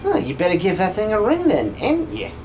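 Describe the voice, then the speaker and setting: A man voicing a brash, bossy but warm-hearted young woman miner in a video game fan dub, blunt and slangy. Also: high-pitched, huge pitch swings, fairly quick, a little hiss or hum. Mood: brash, curious.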